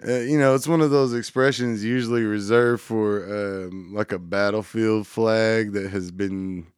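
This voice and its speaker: Laid-back low-voiced male radio host. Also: fairly even intonation, unhurried, clean tone, no echo, clean studio sound. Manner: laid-back, rambling, trails off often. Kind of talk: casual chat.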